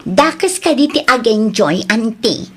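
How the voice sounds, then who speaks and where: A woman's voice: a little high, lively intonation, unhurried, fairly bright tone, breathy edge, heavily acted. A woman acting a defensive young student, a younger sister, in an Ilocano audio drama. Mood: pleading.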